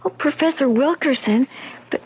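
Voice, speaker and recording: An actress playing a frightened young woman student who speaks in a nervous, halting way, in a 1970s radio drama. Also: high voice. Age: young adult. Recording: muffled old radio broadcast.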